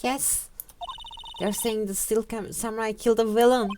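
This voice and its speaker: A woman's voice: steady tone, normal speed, noisy background, lightly acted. A woman voicing a bubbly teen girl sidekick. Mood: frantic. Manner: gushing, high-spirited. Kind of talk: fan voice-over of a game.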